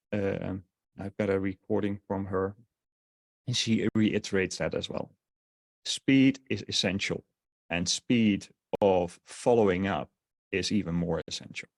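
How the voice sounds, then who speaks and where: Dutch-accented male webinar presenter, lowish voice, rambling sales pitch, dry close mic. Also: dry recording. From Netherlands. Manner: rambling, many fillers and restarts.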